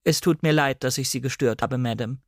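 A man voicing a coolly deferential female housekeeper of a manor in an audiobook drama, with formal, measured politeness. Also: mid-range voice, dry recording, studio quality, close to an everyday voice.

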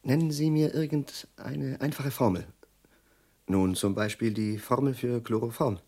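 Casting: eccentric chemist in radio drama, mid-pitched, slightly rough voice, abrupt, ironic, brooding, given to agitated rants. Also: male voice, unhurried, a little mellow. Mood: distracted, probing. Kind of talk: German radio play acting.

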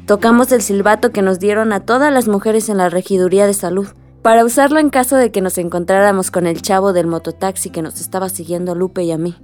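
An actress playing a spirited young Mexican village woman in a radio drama, expressive and colloquial. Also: mid-range voice, fast, soft background music, lightly acted. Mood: chatty.

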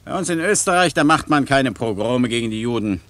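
An actor playing a blustering, condescending Austrian army major in a radio play, pompous and self-assured. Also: male voice, mid-range voice, lively intonation, fairly quick, fairly bright tone, no echo, natural voice. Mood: smug, condescending. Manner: pompous, condescending, barking questions.

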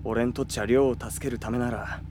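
A man playing a hot-headed police detective in a Japanese audio drama, talking in rough, cocky slang. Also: mid-range voice, fairly even intonation, a little fast, a little mellow, slight background noise, lightly acted. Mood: defiant.

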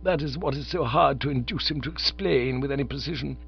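A man's voice: mid-range voice, a little fast, gravelly, husky, voice pushed up. A man voicing a stern, pompous middle-aged aunt who lectures loftily and stalls over delicate words. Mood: imperious. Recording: slightly dull lo-fi recording.

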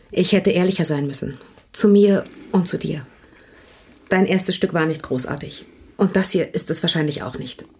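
A tired, candid wife in a radio drama. Her voice is slightly low and very breathy. She speaks plainly, in short measured phrases. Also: darkish tone. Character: weary, frank wife of a struggling writer. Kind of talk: radio play acting.

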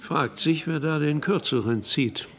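An actor playing a confident, jovial doctor at a card table in a conversational radio play. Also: male voice, fairly high pitch, unhurried, fairly bright tone. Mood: confident, teasing.

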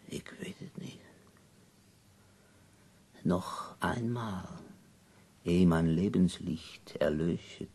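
An actor playing an ailing, bedridden poet in a radio drama, wry and death-weary, speaking theatrically with dramatic pauses. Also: male voice, deep voice, a little mellow, full character voice. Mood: weary, melancholy. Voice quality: husky, gravelly.

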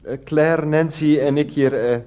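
A man's voice: a little high, steady tone, a little slow, muffled recording, natural voice. An actor playing a bumbling, evasive man in a radio comedy drama, stammering and placating as he goes. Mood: hesitant, flustered.

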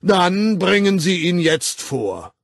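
A man reading an audiobook voices a pompous, authoritarian male director who denounces an employee in slow, loud, declamatory speech. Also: on the high side, lively intonation, creaky, slightly rough, no room sound, clean studio sound, voice pushed up, full character voice. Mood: haughty. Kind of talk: audiobook character voice.

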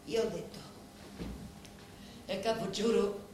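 An actress playing a proud, determined young Sicilian woman in a radio play, with a Sicilian accent and deliberate, dramatic delivery full of weighty pauses. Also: mid-range voice, fairly even intonation, mellow, lightly acted. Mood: solemn, calculating. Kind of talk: theatrical monologue in a radio play. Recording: very echoey room, faint background noise.

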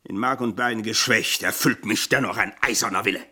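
An actor playing a sickly, scheming retired officer in a radio play, pompous and wheedling in stilted, flowery speech. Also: male voice, on the low side, a little fast, sharp tone, husky, heavily acted. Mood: bitter, threatening. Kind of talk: German radio play acting.

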